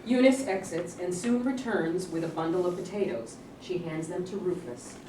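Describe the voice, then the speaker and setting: Woman reading stage directions, lowish voice, far from mic, echoey n noisy. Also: animated, normal speed. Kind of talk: scripted narration at a staged play reading. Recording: echoey room from far off, clear noise.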